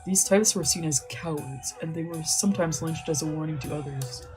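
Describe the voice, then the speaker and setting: Woman reading a story in a low voice. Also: normal speed, a little mellow, faint music behind, poor audio. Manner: casual reading with profanity. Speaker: VTuber playing a visual novel. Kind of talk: reading a visual novel aloud.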